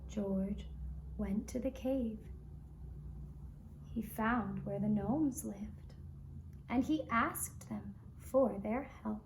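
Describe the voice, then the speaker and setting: A woman telling a children's puppet-show story in a gentle, measured and deliberate way, with pauses between phrases. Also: medium pitch, slight background noise. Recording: roomy, echoing indoor sound.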